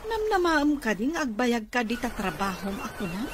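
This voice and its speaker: A woman's voice: on the high side, sing-song, normal speed, background noise. An actress playing a familiar, forthright godmother in an Ilocano-accented radio drama. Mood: chatty, persuading. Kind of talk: Filipino radio comedy-drama acting.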